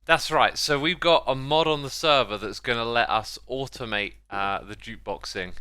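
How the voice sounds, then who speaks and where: A man's voice: mid-range voice, moderate pace, pushed and bright, clean tone, no room sound. A man giving casual, chatty gameplay commentary as a video host, with playful exclamations, close on the mic.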